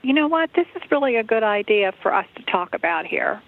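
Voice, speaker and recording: A woman's voice: fairly high pitch, lively intonation, brisk. Hesitant woman on a muffled phone line. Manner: hesitant, politely noncommittal. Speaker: church staff member. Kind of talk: unscripted phone conversation. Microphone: telephone.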